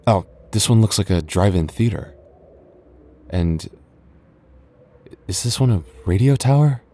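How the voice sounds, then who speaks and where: A young goth boyfriend, played by a man, talks close and soft in an ASMR roleplay. His voice sits low and warm, and he teases his partner fondly. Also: lively intonation, unhurried. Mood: affectionate. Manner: soft, intimate, playfully teasing. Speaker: voice actor.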